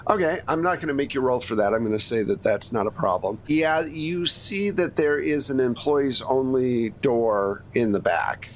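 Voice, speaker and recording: A man's voice: mid-range voice, a little hiss or hum. A man running a tabletop game as game master, narrating scenes casually and prompting players in a conversational, improvised way.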